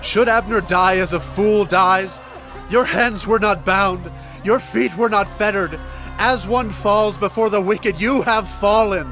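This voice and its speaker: A man's voice: high voice, a little slow, a bit bright. An actor playing a grieving, righteous warrior king in dramatized scripture, declaiming with solemn emphasis. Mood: mournful. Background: music.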